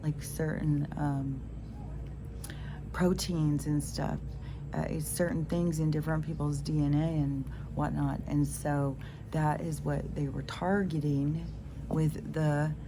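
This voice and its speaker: A woman's voice: sits low, little pitch movement, takes it slowly. A middle-aged woman with a stuffed-up nose rambling casually outdoors, full of fillers and restarts. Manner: meandering, many fillers and restarts. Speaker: spiritual vlogger. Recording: noisy outdoor phone recording.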